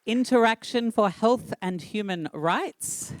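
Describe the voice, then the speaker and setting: Playful forum host, smooth clear voice. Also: mid-range voice, animated, slow pace, a faint crowd. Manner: playful, self-mocking. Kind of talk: hosting a live forum. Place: stage in a hall.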